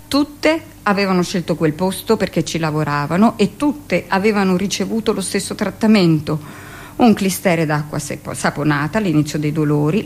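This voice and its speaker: A brusque middle-aged midwife, confiding. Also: female voice, low-pitched, gravelly, a little hiss or hum. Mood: wistful, confiding. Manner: conversational, dramatic pauses, shifts into mimicked speech. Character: 45-year-old hospital midwife, brusque, gossipy, recalling her past. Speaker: actress in a radio monologue. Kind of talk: theatrical first-person monologue, confiding storytelling.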